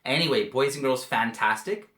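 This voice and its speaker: A man from Canada, giving a video lesson to young pupils in slow, simple, friendly speech. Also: medium pitch, a bit bright. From Canada, British Columbia. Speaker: English teacher for children. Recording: roomy echo.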